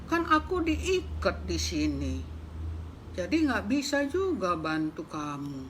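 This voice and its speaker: A kindly horse from a storybook, tied up in its stall, talks things through calmly, as a woman acts it out. Gentle and reasonable, a little raised in pitch. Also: a little hiss or hum. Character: calm kindly tethered horse.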